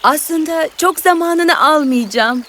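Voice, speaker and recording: A woman playing a gentle, patient young elder sister in a children's fairy tale, speaking earnestly. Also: high voice, slow pace, slight background noise.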